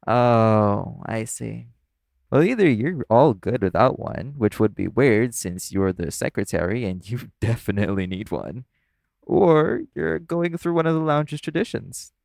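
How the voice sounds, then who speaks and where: A man's voice: mid-range voice, lively intonation, unhurried, vocal fry, a little mellow, no echo, studio quality. A man playing a flirtatious, easygoing nightclub coworker in a casual, teasing audio roleplay. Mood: casual, reassuring. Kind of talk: audio roleplay acting addressed to the listener.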